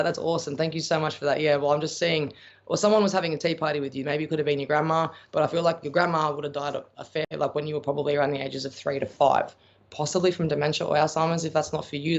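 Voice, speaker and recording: A man's voice: fairly high pitch, fairly even intonation, fast talker, creaky. An Australian man giving psychic readings on a live stream, answering viewer questions in a blunt, chatty way and calling viewers pet names. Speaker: psychic medium. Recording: dull lo-fi stream audio.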